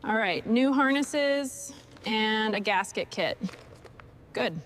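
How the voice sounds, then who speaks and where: Higher-voiced woman over workshop noise. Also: expressive, slow pace. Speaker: mechanic narrating restoration work. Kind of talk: short hands-on work commentary.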